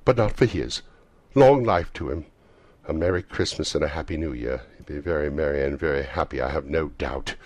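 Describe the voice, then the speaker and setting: A man voicing an indignant, sharp-tongued wife and mother in a storybook, emphatic and bitterly sarcastic. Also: very deep voice, moderate pace, no echo. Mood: grudging.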